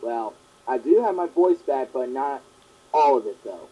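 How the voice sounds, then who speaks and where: A young man hosting his own fan question show, talking in a rambling, earnest way that repeats itself, his voice hoarse and partly gone from a long cough. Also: mid-range voice, lively intonation, measured pace. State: sore throat, partly lost voice from a long cough. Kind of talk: casual monologue answering fan questions. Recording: dull lo-fi home recording.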